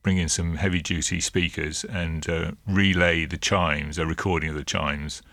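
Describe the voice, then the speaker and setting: A man co-hosting a political podcast, explaining in a measured, hesitant way with many fillers and sounding a little under the weather. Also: deep voice, fairly even intonation, a bit bright, dry recording. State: a bit ill. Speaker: co-host and political editor. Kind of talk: casual political podcast chat.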